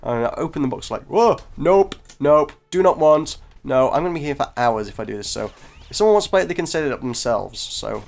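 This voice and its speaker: A man's voice: on the high side, lively intonation, brisk, gravelly, faint music behind. A congested man, hosting a gaming video with casual, self-deprecating chat that breaks off mid-sentence. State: congested from a cold. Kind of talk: casual gameplay commentary. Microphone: desk microphone.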